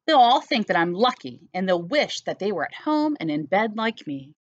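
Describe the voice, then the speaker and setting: A woman's voice: mid-range voice, big pitch swings, moderate pace, dry recording, muffled recording. A woman voicing an anxious, whiny female storybook character who stubbornly refuses to go along. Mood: defiant. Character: Sarah, reluctant, anxious, whining female refusing to leave bed.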